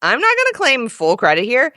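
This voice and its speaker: Woman as a playful, proud dad, bright voice. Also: fairly high pitch, wildly swooping pitch, brisk, full character voice. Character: playful, proud, encouraging dad of two kids.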